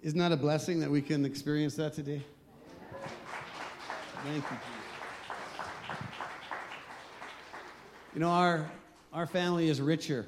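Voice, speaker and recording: A man pastor preaching a sermon, emphatic and slowing down on key phrases. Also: mid-range voice, a little mellow.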